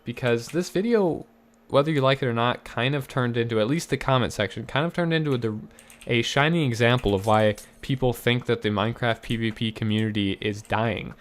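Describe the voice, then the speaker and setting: A young man talks into a desk mic in casual gameplay commentary, his voice a little raspy and his pitch sitting in the middle. He explains himself earnestly, with fillers and restarts, and keyboard typing ticks faintly behind him. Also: fairly quick. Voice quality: slightly raspy. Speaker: gaming YouTuber.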